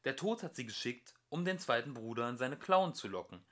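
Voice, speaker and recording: A man reading a scripted audiobook as an amateur narrator, with expressive, varied emphasis. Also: medium pitch, brisk.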